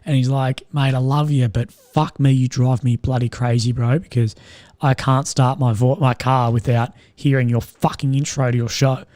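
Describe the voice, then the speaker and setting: A young man with a strained, slightly croaky voice, rambling and swearing as he chats with viewers on a live stream. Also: medium pitch, fairly even intonation, normal speed, darkish tone, no echo. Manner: rambling, swears often, laughs at own jokes. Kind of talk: casual live stream chat.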